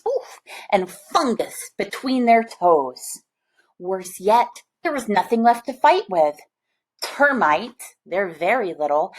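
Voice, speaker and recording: Female storybook reader, unhurried, expressive, mid-pitched, chatting to young listeners between passages. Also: poor audio. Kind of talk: animated read-aloud of a children's picture book with chatty asides.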